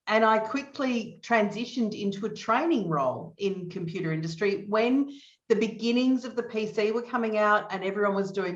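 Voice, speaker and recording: A woman's voice: medium pitch, a bit bright. Australian woman with an echoey, muffled sound. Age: middle-aged. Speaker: guest, training consultant. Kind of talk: conversational interview answers. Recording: echoey, dull remote audio.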